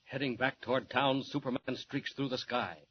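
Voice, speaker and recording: A man's voice: mid-range voice, steady tone, normal speed. A man narrating an old radio serial in a theatrical, suspense-building 1940s style, on a muffled, hissy recording. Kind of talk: 1940s dramatic scripted narration.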